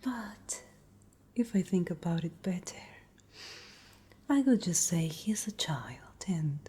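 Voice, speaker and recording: A woman playing a cruel, haughty vampire noblewoman in a whispered ASMR roleplay, breathy, deliberate and taunting. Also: on the low side, animated, dark tone, heavily acted. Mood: haughty, contemptuous. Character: cruel, menacing noble lady vampire of a castle.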